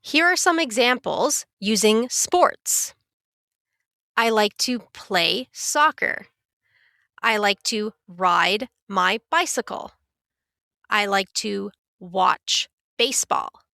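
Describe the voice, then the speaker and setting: A woman teaching a beginner English lesson in a careful, clear, scripted way. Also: medium pitch, animated, slow pace, pushed and bright, dry recording, clean studio sound. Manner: clear, careful, instructive delivery.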